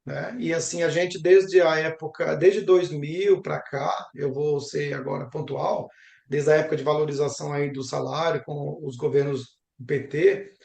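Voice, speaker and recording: A man's voice: a little high, steady tone, moderate pace, gravelly, darkish tone. A young Brazilian man speaking conversationally as an interviewed professor, talkative and reflective, often adding little tag questions. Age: young adult. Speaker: geography professor interviewed about travel. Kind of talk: conversational interview answers, storytelling. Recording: roomy, echoing sound.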